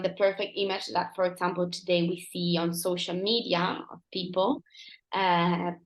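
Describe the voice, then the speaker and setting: A woman's voice: medium pitch, echoey room, poor audio. A teenage woman co-hosting a podcast, chatting casually with frequent "like" and "um", and reading a sonnet aloud. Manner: uses "like" and "um" often. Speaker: podcast co-host. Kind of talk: casual chat and poetry reading.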